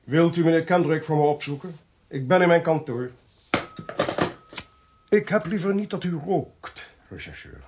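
A man acting in a radio drama, playing a sardonic, authoritative investigator with a dry, commanding, probing manner and a creaky voice. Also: fairly high pitch, expressive, normal speed, long pauses. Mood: brisk, brooding. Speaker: actor. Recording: faint hiss on radio play recording.

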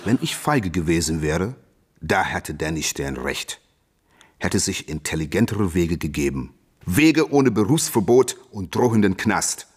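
An actor playing a defiant, cocky American boxing champion in a German radio play, boastful and emphatic. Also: male voice, low-pitched, sing-song, unhurried, slightly rough, exaggerated voice. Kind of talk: German radio play acting, interview monologue.

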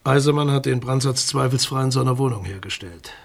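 A man's voice: medium pitch, brisk, gravelly. An actor playing a sober, guarded male public prosecutor in a naturalistic radio crime drama, speaking in formal, precise, matter-of-fact officialese. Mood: factual, firm.

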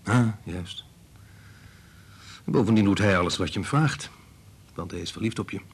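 An actor playing a cynical, pushy husband and scientist in a radio play, sardonic and dismissive. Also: male voice, deep voice, moderate pace, a little hiss or hum, natural voice. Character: cynical, pushy biologist husband and father, belittling. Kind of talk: Dutch radio play acting.

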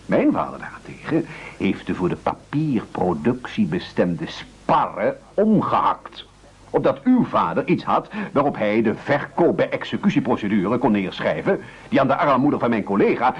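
A man's voice: medium pitch, very animated, moderate pace, breathy edge. An actor in a radio play playing a pompous, scheming male leader of hungry castaways, speaking in formal, rhetorical sentences. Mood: pompous, accusing.

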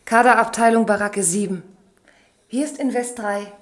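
A woman's voice: mid-range voice, moderate pace, lightly acted. An actress playing a formal, slightly puzzled and prim office woman in a radio play. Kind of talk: German radio play acting.

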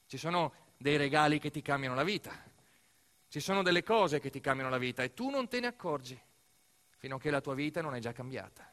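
An Italian man telling a theatrical monologue as a stage narrator, expressive and playful, with dramatic emphasis and deliberate pauses. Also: a little high.

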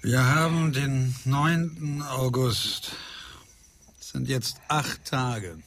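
An actor in a German radio drama playing a frustrated, self-justifying male scientist who lectures with a husky voice. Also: mid-range voice, very slow, fairly bright tone. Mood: worried. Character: defensive, frustrated male scientist leading an island experiment.

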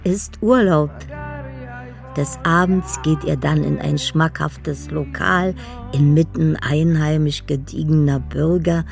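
Dreamy lovelorn woman, low gravelly voice, slow poetic delivery, radio drama. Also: darkish tone, faint music behind, exaggerated voice. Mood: dreamily observing. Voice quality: husky, gravelly. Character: dreamy, lovelorn woman living in her own fantasy.

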